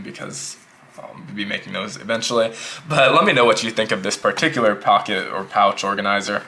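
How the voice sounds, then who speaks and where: A man casually reviewing a notebook pouch in a relaxed, rambling way, a little sick and slightly hoarse. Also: fairly low pitch. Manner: relaxed, rambling, repeats himself. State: losing his voice, a bit sick. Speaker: EDC gear reviewer.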